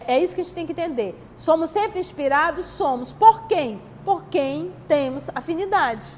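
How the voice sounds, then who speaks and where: A woman's voice: high-pitched, lively intonation, normal speed, darkish tone. A woman with a Brazilian Portuguese accent giving a spiritist study talk, reading passages and answering her own rhetorical questions in a teacherly way. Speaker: spiritist lecturer. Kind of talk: religious study talk, reading and commenting on texts. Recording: long hall reverb, faint background noise.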